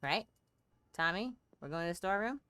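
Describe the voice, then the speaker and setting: Female game streamer, lowish clear voice, dry close sound, chatty running commentary. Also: lively intonation, a little fast, bright, forceful tone, dry recording. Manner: chatty, thinking aloud.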